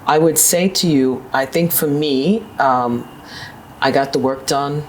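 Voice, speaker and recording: A woman's voice: unhurried, low-quality recording. Woman, fifties, New York accent, assertive interview guest, faint room hum behind. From New York, USA. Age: 50s. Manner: assertive, upbeat, with sudden high pitch lifts. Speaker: guest, managing director. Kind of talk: conversational interview storytelling.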